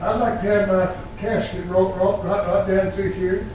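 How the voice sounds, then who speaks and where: An old man in his eighties preaching a sermon in a church, quoting scripture in slow, emphatic phrases. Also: high voice, level tone, a little mellow, a little hiss or hum. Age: 80s. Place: church sanctuary. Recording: very echoey church from far off.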